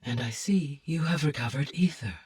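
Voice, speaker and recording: A woman voicing a calm, courteous, motherly artificial intelligence in a video game, speaking softly with measured, precise, formal diction. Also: sits low, breathy edge, recorded from a distance. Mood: gentle, welcoming. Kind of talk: scripted video game voice acting.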